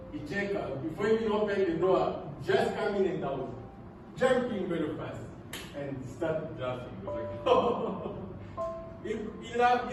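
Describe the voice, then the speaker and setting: A man from Congo, whose first languages are Swahili and French, tells his life story in English, earnest and halting, often repeating words. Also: sits high. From Democratic Republic of the Congo. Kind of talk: personal storytelling talk with slides. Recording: echoey room, mic far off, loud music behind.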